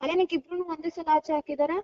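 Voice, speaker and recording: A woman's voice: extremely high pitch, fairly even intonation, fast, warm tone. A woman who takes a job call, questioning the caller insistently over the phone. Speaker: job recruiter taking a call. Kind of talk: phone conversation. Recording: dull phone line, faint noise.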